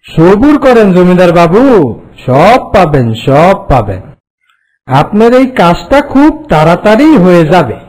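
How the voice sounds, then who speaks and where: A man voicing a wily village swindler in a folk-tale cartoon, wheedling and cocky as he reassures and flatters. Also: high voice, a little slow, rough recording. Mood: cocky, reassuring. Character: wily, smooth-talking village swindler flattering his victim.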